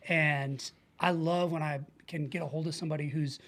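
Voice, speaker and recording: A middle-aged man with an American accent and a fairly high voice talks in a casual interview style. He sounds earnest and informal, explaining things as a coach would, with quick everyday examples. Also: fairly even intonation, fairly bright tone. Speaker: podcast guest, leadership coach.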